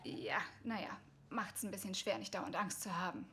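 A woman's voice: mid-range voice, lively intonation, normal speed, bright, forceful tone, slight background noise. A woman playing an anxious, self-doubting young woman, earnest and vulnerable, in a dubbed TV drama. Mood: resigned.

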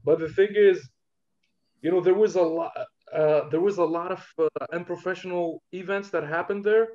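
A man from Algeria, a rapper guest talking in a relaxed interview, hesitant and full of fillers. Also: sits high, normal speed, lo-fi sound. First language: Algerian Darija. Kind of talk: casual interview talk.

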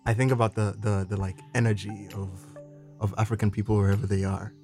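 A man in his twenties guests on a podcast, relaxed and searching for his words. His voice sits a little low. Faint music runs underneath. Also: fast talker. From Kenya. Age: 20s. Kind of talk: casual chat.

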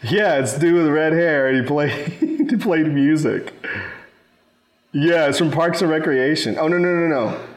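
A man's voice: a little high, animated, unhurried. A man co-hosting a casual gaming podcast, rambling and restarting his sentences, agreeing with lots of yeahs. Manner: rambling, repeats yeah a lot, restarts sentences. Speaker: podcast co-host. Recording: roomy echo.

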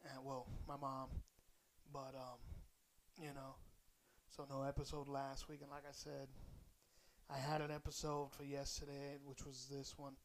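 A man hosting his own podcast in a casual, rambling way, a little hoarse as he comes down with a cold. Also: mid-range voice, monotone, a little slow, a little hiss or hum, rough recording. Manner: rambling, loose, swears casually. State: slightly hoarse, coming down with a cold. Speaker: podcast host. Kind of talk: casual solo podcast chat.

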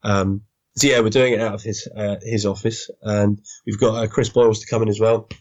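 A man with a somewhat low voice, croaky and hoarse from a sore throat, chats easily as a podcast guest. His voice comes through a dull, lo-fi remote line. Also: little pitch movement, a little fast, darkish tone. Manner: easygoing, chatty, laughs. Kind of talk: casual interview chat.

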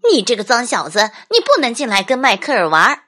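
A woman reader acts out a mother in a picture book. She is fussy and indignant, scolding at a quick clip in a bright, raised voice. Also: on the high side, sing-song, voice pushed up, full character voice.

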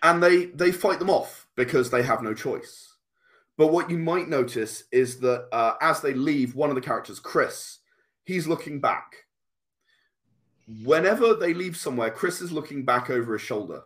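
A man speaking as an interview guest, explaining and storytelling conversationally, halting and repeating words as he goes. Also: fairly high pitch, animated, fairly bright tone. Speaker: podcast guest, comic writer.

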